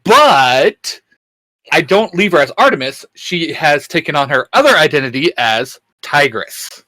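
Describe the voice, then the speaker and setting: A man with a slightly high-pitched voice co-hosts a casual fan discussion. The sound is very dry, with no room echo, and he talks informally as one fan to another. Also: sing-song, a little slow, sharp tone. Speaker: co-host of a geek-culture discussion show. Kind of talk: casual fan chat about comics.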